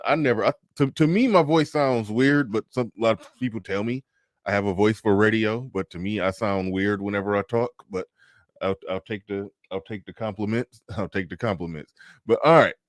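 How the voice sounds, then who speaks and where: Laid-back low-voiced man, dry close sound. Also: dry recording. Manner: laid-back, laughs often, drawls. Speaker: livestream host. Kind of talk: casual livestream chat reading comments.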